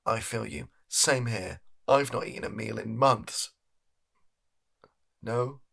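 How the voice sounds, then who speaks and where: A young British man playing a shameless, evasive energy company boss in an improvised comedy monologue, speaking softly with a halting, deadpan manner. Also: mid-range voice, creaky, heavily acted. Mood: flustered. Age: young adult. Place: small home bedroom studio.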